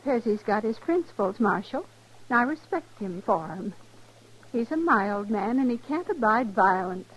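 A woman's voice: fairly high pitch, expressive, moderate pace, natural voice, low-quality recording. An actress playing a loyal frontier woman, plain-spoken and earnest, in an old radio western. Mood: earnest, protective. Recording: old radio with faint background noise.

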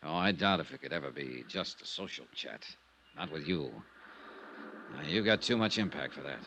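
An actor playing a shrewd, hard-boiled insurance investigator in a 1950s radio detective drama, clipped, dramatic and wry. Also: male voice, very deep voice, animated, normal speed, pushed and bright, lo-fi sound, slight background noise, heavily acted. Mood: low, wry.

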